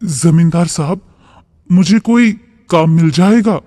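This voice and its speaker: Man playing a high-voiced, humble poor farmer. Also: slow pace, mellow. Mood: worried, pleading. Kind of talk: cartoon story dialogue. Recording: long reverb.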